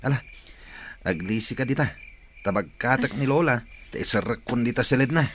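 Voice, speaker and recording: A man's voice: medium pitch, a little fast, fairly bright tone, slight background noise, close to an everyday voice. A man acting a sly, hesitant young grandson who wheedles and cajoles in a radio drama. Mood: evasive.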